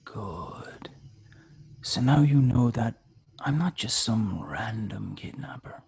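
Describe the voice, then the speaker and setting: A man playing a cold, taunting kidnapper in a screenplay read, speaking in a slow, gravelly half-whisper. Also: medium pitch, expressive, a little mellow, no room sound, heavily acted. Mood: quietly menacing. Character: cold, menacing male kidnapper taunting by phone.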